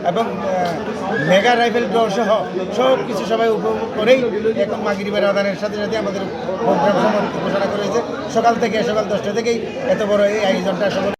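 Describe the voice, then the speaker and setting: A male organizer giving an interview, listing the day's events with brisk enthusiasm. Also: high-pitched. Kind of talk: interview statement. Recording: loud crowd behind.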